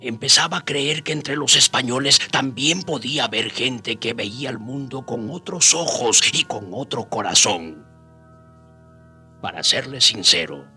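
A man playing a reflective native witness who narrates his memories in a measured way in a radio drama. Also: on the low side, pushed and bright, faint music behind. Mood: reflective, moved.

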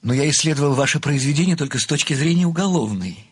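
A man's voice: fairly high pitch, lively intonation, fast talker, slightly rough. An actor playing a meek older man, a retired bookkeeper turned amateur sleuth, speaking deferentially, formally and earnestly in an audio play. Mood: earnest, explaining.

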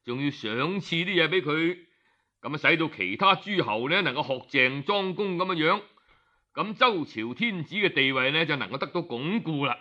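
A dull, lo-fi recording of a man telling old historical tales in a Cantonese accent. His voice sits fairly high and is strikingly bright and sharp. He narrates colloquially, like an oral storyteller, sprinkling in exclamations. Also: animated, normal speed. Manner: colloquial, conversational, with exclamatory particles. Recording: dull, lo-fi audio.

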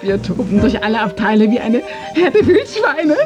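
An actress playing a playful, seductive, mocking woman in a radio play, speaking in a teasing, deliberate, theatrical way. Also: fairly high pitch, big pitch swings, over music. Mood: playful, bold. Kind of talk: German radio play acting.

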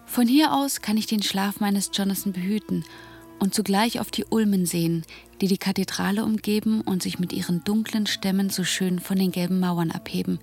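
An actress playing a devoted, anxious young wife, tenderly narrating a letter in a radio drama. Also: female voice, medium pitch, steady tone, a little mellow, soft background music, natural voice. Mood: tender, wakeful. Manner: tender, measured reading.

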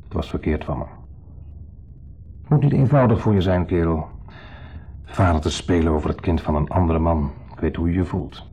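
A man's voice: low voice, moderate pace, pauses often, dark tone, husky. An actor playing a lonely, needy man in a radio drama, insistently friendly and confessional, swinging between eager and downcast. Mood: contrite. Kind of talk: 1970s radio drama acting. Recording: dull 1970s radio recording.

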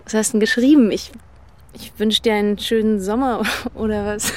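An actress playing a withdrawn teenage girl in an audio drama, soft-spoken and hesitant. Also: medium pitch, steady tone, moderate pace, natural voice. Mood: tentative, curious.

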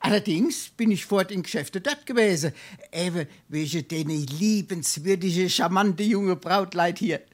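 A man's voice: high voice, a little slow. A man playing a wily, shameless scrounger in a Darmstadt dialect stage comedy, pompous and full of flowery courtesy. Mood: smooth, evasive. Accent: Darmstadt Hessian. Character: wily, shameless male scrounger and bon vivant.

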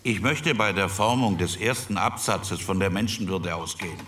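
An actor playing an earnest postwar politician in a radio play, speaking in a measured, formal, deliberate way. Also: male voice, deep voice, fairly bright tone, recorded from a distance, slight background noise.

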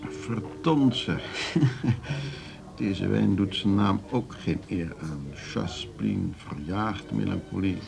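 A man's voice: on the low side, slow pace, gravelly, music in the background, heavily acted. An actor in a radio drama playing a worldly, wistful older man, musing in a condescending way with frequent tag questions.

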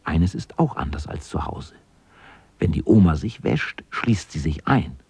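Storyteller, very low husky voice, animated intonation, scripted radio play narration. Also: male voice, measured pace, no room sound, exaggerated voice. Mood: narrating. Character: plain-spoken male storyteller of a children's tale.

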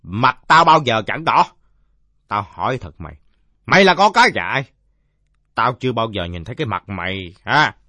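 A man's voice: medium pitch, big pitch swings, moderate pace, long pauses, pushed and bright, no echo, dull audio. A man voicing a belligerent, drunken village father in a story, blustering and coarse-mouthed.

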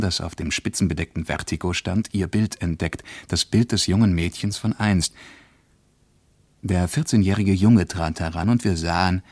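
An actor playing a reflective West German traveller who recounts a journey in a measured, literary radio-play narration. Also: male voice, deep voice, no room sound.

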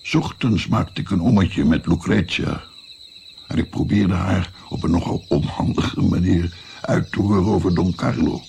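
An actor playing an old grandfather in a radio play, reflectively recounting his past travels in a gravelly voice. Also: deep voice, normal speed, a little mellow, slight background noise. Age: older. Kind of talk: radio drama storytelling.

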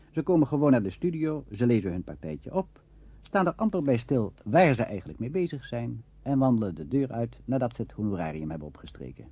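A man presenting a scripted radio documentary in a formal, measured and expressive manner. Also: mid-range voice, husky, no echo, lo-fi sound.

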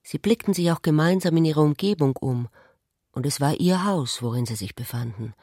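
A woman's voice: deep voice, expressive, fairly quick, warm tone, husky, dry recording, clean studio sound. A woman reading literary prose as a narrator in a radio drama.

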